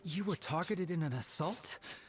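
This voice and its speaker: A man voices an eager, chatty detective whose pitch moves around a lot as he talks. He sounds friendly and keen to help. Faint noise sits behind him. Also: lively intonation, moderate pace, close to an everyday voice. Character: friendly chatty male detective agency worker. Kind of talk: video game dialogue.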